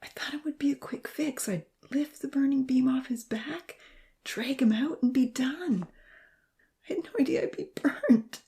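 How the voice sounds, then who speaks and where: Lady doing a young burned guy crying, breathy whisper, way higher than her own voice, cracks into sobs. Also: animated, measured pace, dark tone. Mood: anguished whisper. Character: young burned man, anguished and tearful.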